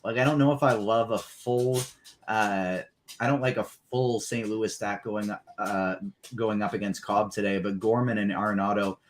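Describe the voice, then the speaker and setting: A man's voice: lowish voice, fairly even intonation, normal speed, slight background noise. A young man talking fantasy baseball picks in a laid-back, hedging way. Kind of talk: casual sports betting chat.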